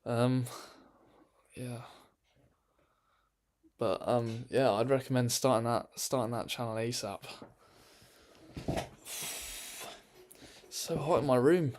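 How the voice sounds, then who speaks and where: A man's voice: medium pitch, slow pace, pauses often, a little mellow. A man chatting casually on a live stream from his bedroom, rambling with lots of ums and restarts.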